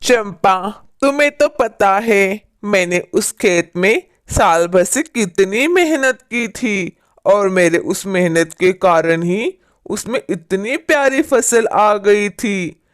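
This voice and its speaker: Woman playing a slow, pleading poor farmer man. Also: medium pitch, lively intonation. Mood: sorrowful. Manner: pleading, lamenting. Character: poor, humble young male farmer, pleading and sorrowful. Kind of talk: storybook character acting.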